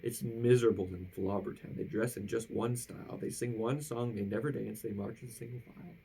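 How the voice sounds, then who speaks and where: A woman reading a children's rhyming picture book aloud with theatrical, drawn-out emphasis at the ends of phrases. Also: extremely low pitch, mellow, husky, rough recording. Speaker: storybook reader.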